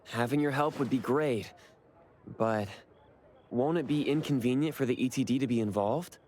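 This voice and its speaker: A man's voice: mid-range voice, moderate pace, darkish tone, a little hiss or hum, close to an everyday voice. A man playing a reserved, polite young man in an anime game, speaking in an understated, hesitant way. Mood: polite, earnest.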